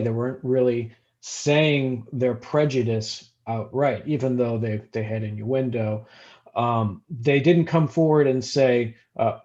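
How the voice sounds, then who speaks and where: A man explaining his professional view haltingly in an unscripted panel discussion over a video call. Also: medium pitch, warm tone. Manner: halting, explanatory, many hesitations. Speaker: panelist, housing discrimination professional. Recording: dull, lo-fi video call audio.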